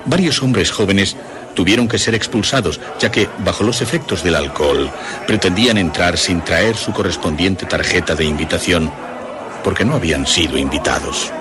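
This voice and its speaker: A man narrating a scripted horror story for radio in a measured, dramatic storytelling manner. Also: low voice.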